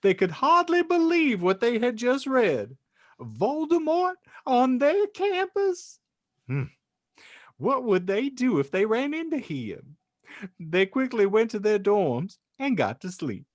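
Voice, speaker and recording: A man's voice: extremely high pitch, huge pitch swings, slow pace, no room sound, clean studio sound. A man hosting a comedy podcast and narrating, with a mock-solemn, wry, theatrical delivery. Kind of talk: comedic banter and dramatic story reading.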